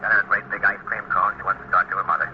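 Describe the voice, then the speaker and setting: An American man playing a brisk, matter-of-fact police lieutenant in a 1950s radio drama. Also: on the low side, steady tone, lightly acted. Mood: brisk, relieved. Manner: brisk, factual reporting. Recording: muffled, noisy old radio recording.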